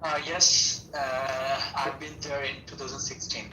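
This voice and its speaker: A man's voice: medium pitch, flat delivery, measured pace, over music. A man with a Bangladeshi accent making casual, slightly hesitant small talk as a student on an online practice call. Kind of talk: casual introductory chat. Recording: echoey, distant online call, faint noise.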